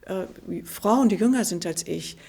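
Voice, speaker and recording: A woman's voice: medium pitch, moderate pace, creaky, a little mellow, slightly rough. A woman in her sixties from Germany, giving a thoughtful, hesitant interview answer. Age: 60s. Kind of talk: reflective interview clip.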